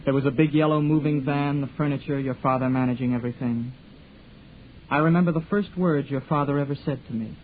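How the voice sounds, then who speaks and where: A man's voice: mid-range voice, steady tone, narrow, tinny audio, a little hiss or hum, close to an everyday voice. An actor in his twenties playing a lonely young man confined to a chair, narrating in a wistful way in a 1940s radio drama. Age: 20s. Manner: wistful, halting with his mother. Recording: phone-thin 1940s radio broadcast.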